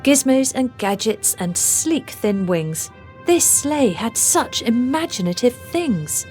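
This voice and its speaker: A woman narrating a children's storybook aloud, with deliberate, rhythmic delivery of rhymed phrases. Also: a little high, sing-song, darkish tone, soft background music. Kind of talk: scripted storybook read-aloud.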